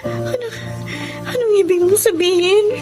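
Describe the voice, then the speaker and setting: A woman's voice: very high voice, unhurried. An actress playing an anguished, bitter young woman who has lost her sight, in an overwrought Filipino radio drama style with a Filipino accent. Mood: hysterical grief. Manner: overwrought, wailing and accusing. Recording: music bed under the voice.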